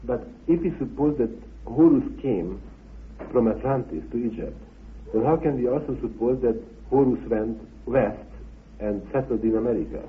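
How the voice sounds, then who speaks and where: Male documentary interviewer, medium-pitched, posing questions over a thin, lo-fi recording. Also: moderate pace, a little hiss or hum. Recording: narrow phone-like, lo-fi radio recording.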